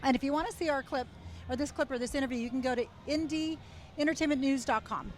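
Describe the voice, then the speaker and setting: Brief chatty woman host, high bright voice, festival interviewer. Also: fast talker. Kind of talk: event interview hosting.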